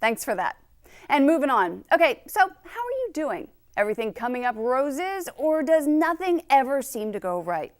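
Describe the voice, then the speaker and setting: A woman's voice: sits high, big pitch swings, normal speed. Upbeat woman presenting local news. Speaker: news show host. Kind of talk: scripted news narration.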